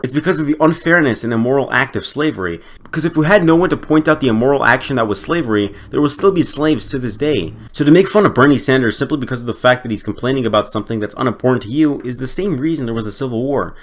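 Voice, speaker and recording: A male commentator mocks what he is watching, sounding sarcastic and exasperated and swearing freely. His voice is bright and tense, and his pitch moves animatedly. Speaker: video commentator. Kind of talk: reaction commentary.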